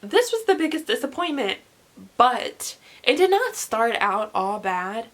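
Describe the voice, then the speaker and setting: A woman's voice: on the high side, very animated, unhurried, a bit bright. A young woman chatting casually and emphatically to camera, reviewing books in an informal way. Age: young adult. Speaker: book reviewer vlogger.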